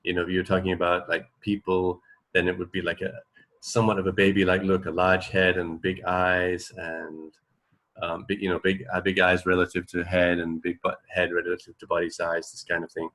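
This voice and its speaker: A man in his forties chatting casually as a radio co-host, explaining things in a halting, rambling way that often trails off mid-thought. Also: deep voice, level tone, a bit bright. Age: 40s. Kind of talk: casual unscripted chat, explaining.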